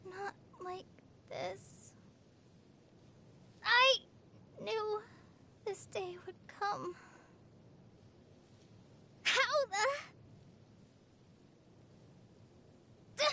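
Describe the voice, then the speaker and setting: A woman's voice: extremely high pitch, sharp tone, heavily acted. A woman voicing an earnest, easily flustered teenage girl, a trainee forest ranger in a video game, with a hesitant, eager manner. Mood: pained, distressed. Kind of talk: video game character voice lines.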